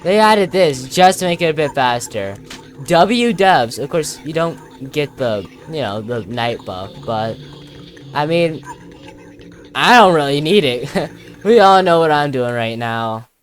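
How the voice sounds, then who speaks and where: Low-voiced woman doing playful gameplay commentary. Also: expressive, measured pace. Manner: jokey, mock-dramatic asides. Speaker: gaming video creator commenting on gameplay. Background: music.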